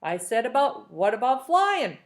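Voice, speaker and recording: A woman voicing a grotesque old female witch in a storybook reading, raised pitch and pushed delivery. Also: big pitch swings, unhurried. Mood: eerie, coaxing.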